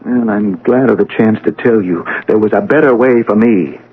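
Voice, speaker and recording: Bitter, husky radio-drama son. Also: male voice, lowish voice, normal speed, phone-quality sound. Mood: bitter, defiant. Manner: theatrical, resentful, emphatic. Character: bitter, proud scientist son, defiant and self-important. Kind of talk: old-time radio drama acting. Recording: band-limited radio drama recording.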